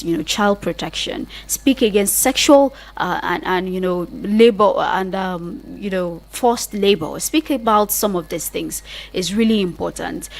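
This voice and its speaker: A woman with a Nigerian accent hosting a radio talk programme, speaking conversationally and urging listeners directly in an earnest advocacy style. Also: medium pitch. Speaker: radio host.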